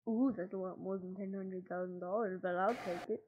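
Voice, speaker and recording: A woman's voice: lowish voice, steady tone, a little fast, a little mellow, voice pushed down, natural voice. A woman voicing a sheepish, greedy shadow animatronic bear in a game role play, hesitant and mumbling, on a noisy home recording. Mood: sly, hesitant.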